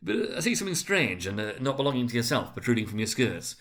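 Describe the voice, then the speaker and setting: A man's voice: medium pitch, sing-song, fast, fairly bright tone. A man voicing an uneasy, awed old miser who speaks hesitantly and deferentially in a dramatic storybook reading. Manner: hesitant, stammering.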